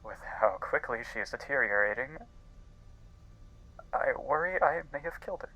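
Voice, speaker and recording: A man playing an earnest, guilt-ridden scientist in an audio drama, speaking intensely and haltingly as if recording a log. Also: mid-range voice, expressive, sharp tone, lo-fi sound. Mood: worried, grave. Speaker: voice actor. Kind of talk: dramatic audio-log monologue in a fiction podcast.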